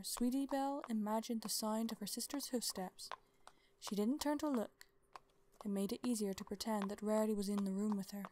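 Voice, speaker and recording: A woman's voice: mid-range voice, darkish tone. A woman reading a scripted story aloud in a dramatic bedtime-story style. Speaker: story narrator.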